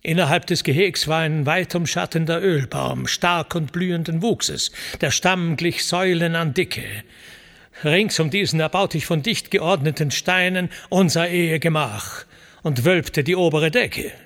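A man's voice: fairly high pitch, measured pace, fairly bright tone. A man voicing a proud, stern hero king of antiquity in a solemn, declamatory epic recitation. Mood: indignant, proud. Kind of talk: dramatic epic verse recitation.

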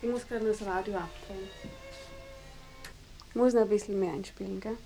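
An actress playing a modest, worried small-town wife with an Austrian accent, answering hesitantly and repeating herself in a documentary-style radio drama. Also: female voice, mid-range voice, steady tone, brisk, mellow, close to an everyday voice, rough recording. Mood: modest, obliging. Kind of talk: naturalistic mock-documentary interview in radio drama. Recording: music playing in the room behind. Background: classical music on a radio.

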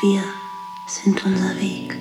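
An actress playing Sarah's part in a poetic radio play, a tender grieving lover with a breathy, measured delivery. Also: female voice, medium pitch, monotone, echoey room, loud music behind the voice, close to an everyday voice. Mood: tender, yearning. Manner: breathy, hushed, slow and measured.

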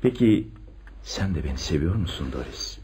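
An actor playing a confident police chief inspector in a radio play, with an expressive, deliberate theatrical delivery. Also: male voice, sits low, slight background noise. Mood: tender, amorous. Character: male police chief inspector, secretly in love, confident theorist. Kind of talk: Turkish radio theatre acting.

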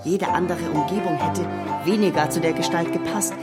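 An actor playing a gallant young gentleman suitor in a period radio drama, effusive, flustered and eager to flatter. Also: on the high side, moderate pace, lightly acted. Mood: enraptured. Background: prominent music.

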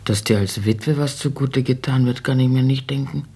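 An actress playing an insistent, plaintive old mother who talks plainly and haltingly, in a German radio play. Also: extremely low pitch, mellow, full character voice. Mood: probing, insistent. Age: older. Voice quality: husky, creaky. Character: nagging, money-minded old widowed mother, plain-spoken.

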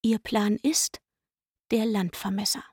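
A woman narrating a literary radio drama with a husky, breathy voice and a knowing, catty manner. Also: mid-range voice, slow pace, over loud music, close to an everyday voice.

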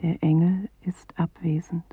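A performer reciting as a grave, solemn narrator in a literary radio play, with a breathy, husky voice and measured delivery. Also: mid-range voice, level tone, no echo. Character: grave poetic narrator reciting bleak apocalyptic images. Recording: narrow phone-like 1980s radio recording.

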